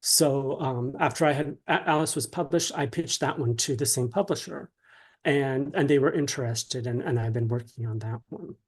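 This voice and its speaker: A man answering interview questions casually, in a hesitant, halting and polite way. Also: medium pitch, slightly rough. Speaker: interview guest, dramatist and author.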